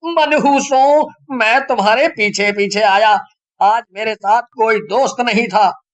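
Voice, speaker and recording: A man's voice: high-pitched, slow pace, fairly bright tone. A man playing a whiny, frightened young fellow in a horror story, with exaggerated pleading delivery. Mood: annoyed, scolding. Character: frightened, whining young male friends trapped by witches.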